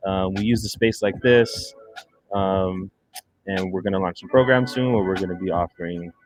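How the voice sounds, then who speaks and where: Low-voiced man over clear music. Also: fairly even intonation, normal speed. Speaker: studio operator, podcast guest. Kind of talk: casual chat. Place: podcast studio, indoors.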